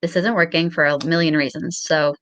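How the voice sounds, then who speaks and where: A woman's voice: sits low, fairly even intonation, fairly quick, a bit bright, dry recording. A young woman chatting casually as an interview guest, with a modest, somewhat hesitant way of talking. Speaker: guest on a show.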